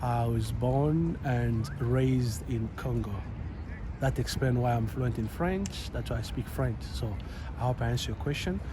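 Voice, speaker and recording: A man's voice: mid-range voice, a little slow. Young man, casual vlog host, chatty and loose, street traffic behind him. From Rwanda. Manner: relaxed, chatty, loose and upbeat. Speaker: YouTube channel host. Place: outdoors in a city. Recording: outdoor city noise and traffic. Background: street and traffic noise.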